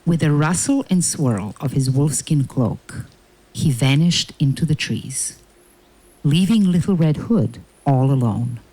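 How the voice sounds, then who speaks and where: A man narrating a fairy tale from a script in a measured, dramatic, deliberate way. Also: a little high, expressive, mellow, dry recording, poor audio.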